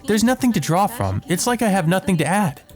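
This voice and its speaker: A man's voice: sits high, sing-song, fairly quick, soft background music, pitched above the performer's own voice. A man voicing a flirtatious, eccentric woman doctor from a visual novel, with a gushing, sing-song teasing manner. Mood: playful musing. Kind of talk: visual novel character read-aloud.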